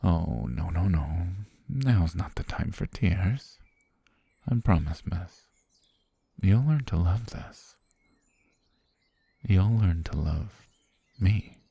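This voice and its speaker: A very deep, gravelly, breathy voice from an obsessive male butler, as a man acts him out, hushed and half-whispered right against the mic. He speaks slowly and gently, with a menacing calm. The sound is dry and close. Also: dry recording, exaggerated voice. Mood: soothing possessive. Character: obsessive soft-spoken male butler kidnapper. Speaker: voice actor. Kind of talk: ASMR roleplay.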